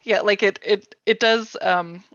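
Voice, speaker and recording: A woman's voice: mid-range voice, fairly even intonation, a little slow, fairly bright tone, lo-fi sound. A woman hosting a casual livestream workshop, speaking informally and hesitantly with plenty of filler "like"s. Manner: informal, hesitant phrasing with many "like"s. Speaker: host of a publisher's livestream workshop. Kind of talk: casual workshop chat, reading viewer questions.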